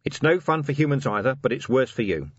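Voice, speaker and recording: A man voicing a weary, decent police commander in a storybook, gruff and dryly sardonic, talking plainly and firmly. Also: medium pitch, big pitch swings, brisk, dry recording, dull audio, voice pushed up. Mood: rousing, firm. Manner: dry, sardonic, rousing plain talk.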